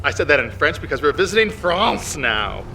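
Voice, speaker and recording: A man's voice: a little high, big pitch swings, normal speed, sharp tone, exaggerated voice. A man playing a chipper, hapless bus tour guide in an audio drama comedy, announcing with sing-song showmanship. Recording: clear vehicle and outdoor noise.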